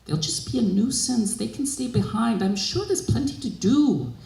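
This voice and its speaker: A man's voice: extremely high pitch, lively intonation, moderate pace, gravelly, darkish tone, pitched above the performer's own voice, heavily acted. A man voicing a grouchy, curt woman, the disapproving mistress of a village household, in a literary reading. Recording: echoey room.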